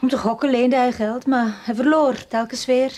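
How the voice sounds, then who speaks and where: Husky, anxious wealthy widow, played by a woman. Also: on the high side, fairly even intonation, moderate pace, a little mellow, lightly acted. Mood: troubled confession. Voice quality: breathy, husky. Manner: earnest, anxious, insistent. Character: frightened, guilt-ridden wealthy widow. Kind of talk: radio drama acting.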